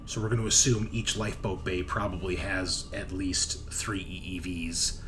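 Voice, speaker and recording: Low-voiced male game master, thinking aloud. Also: fairly even intonation, background noise. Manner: halting, thinking aloud as map details are checked. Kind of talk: casual improvised narration of a tabletop game.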